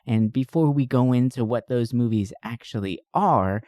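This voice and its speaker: A man's voice: mid-range voice, normal speed, mellow, no echo, studio quality. A man hosting a podcast in a casual, jokey, enthusiastic and chatty style. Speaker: podcast host.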